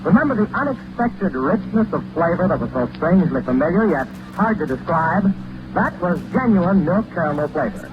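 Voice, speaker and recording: Male 1940s radio pitchman: polished, highly expressive, higher register, vintage dull sound. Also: normal speed, background noise. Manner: polished, salesmanlike. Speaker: radio announcer. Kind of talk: 1940s radio commercial announcing. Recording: dull old radio recording with music.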